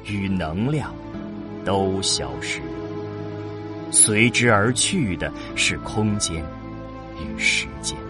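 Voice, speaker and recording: Male narrator for sci-fi radio drama. Deep, solemn, deliberate delivery with wide dramatic swings. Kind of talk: dramatic scripted science fiction narration.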